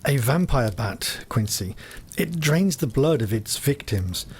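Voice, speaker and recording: Gentleman physician, period drama. Ordinary pitch, brisk, questioning. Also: lively intonation, a little hiss or hum. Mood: puzzled, questioning. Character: practical, puzzled gentleman doctor. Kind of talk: audio period drama acting.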